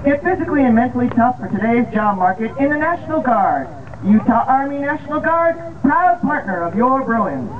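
A far-off, tinny loudspeaker carries an American woman's voice across a noisy outdoor ballpark. She is the stadium announcer, reading scripted lineups and promotions with measured, formal phrasing. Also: high-pitched, very animated. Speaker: stadium public address announcer at baseball games. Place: outdoor baseball field, heard over the PA system.

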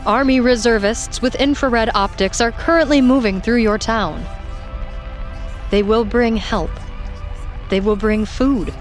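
A woman playing an emergency broadcast announcer in an audio drama, giving measured, reassuring instructions. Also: fairly high pitch, soft background music, close to an everyday voice. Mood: calm, reassuring.

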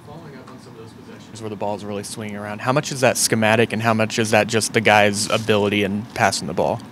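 A man's voice: fairly low pitch, steady tone, fast, fairly bright tone, slight background noise. A male reporter asking long, winding questions at a press conference from out in the room.

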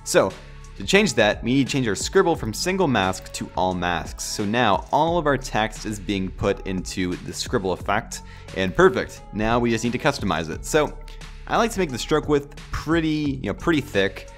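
A low-pitched man narrates a screen tutorial while sick, his voice nasal and cracking from a sore throat. He rambles in a relaxed, filler-heavy way, with faint music under him. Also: moderate pace. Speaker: tutorial host. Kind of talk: casual screen-recorded software tutorial.

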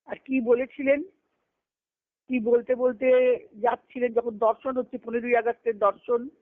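A woman giving a devotional discourse on a conference call, telling stories earnestly and slowing down to recite scripture verses. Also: a little high, flat delivery, no room sound. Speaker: spiritual discourse speaker. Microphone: phone on a conference call. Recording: tinny phone line, dry.

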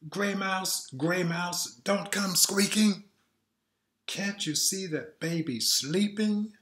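A man reading aloud as a mother who hushes noisy animals, in a sing-song rhyming chant. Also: high-pitched, slow, voice pushed up. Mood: soothing chant. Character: gentle mother hushing animals near her baby.

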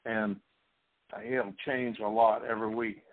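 A man's voice: fairly low pitch, flat delivery, a little slow. A man from Missouri teaching the Bible in a sermon style, folksy and rambling, with frequent restarts and fillers. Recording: husky voice over a tinny phone-like line.

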